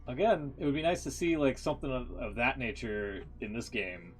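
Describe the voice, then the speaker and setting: A man's voice: mid-range voice, normal speed, soft background music. A man giving casual, rambling live-stream reactions, swearing freely.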